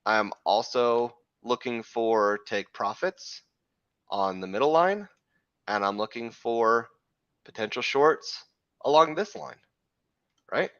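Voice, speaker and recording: A man hosting a live crypto trading show, talking through chart analysis in a rambling way, correcting himself, with a slightly hoarse voice from losing it. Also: mid-range voice, slow pace, pauses often, fairly bright tone, no echo, lo-fi sound. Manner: rambling, self-correcting, frequent "right?" checks.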